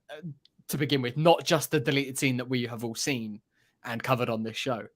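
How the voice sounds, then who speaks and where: A man with a medium-pitched voice chats casually on a podcast. He is enthusiastic and rambles from one thought into the next, as a panelist in an easy discussion. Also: a bit bright. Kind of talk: casual chat about a radio drama.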